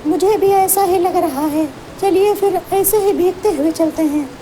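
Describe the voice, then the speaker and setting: A woman voicing a small, plucky, squeaky female bird in a children's cartoon story. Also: a little slow. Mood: reassuring. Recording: loud noisy ambience behind voice.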